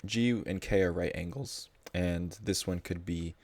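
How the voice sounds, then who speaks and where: Very deep-voiced male math tutor. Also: a little slow, darkish tone, dry recording. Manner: informal, thinking aloud. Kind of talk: casual live tutoring, explaining problems.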